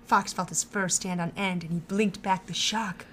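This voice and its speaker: A woman narrating a fan audio drama in a calm, even storytelling voice. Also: on the low side, moderate pace, slight background noise.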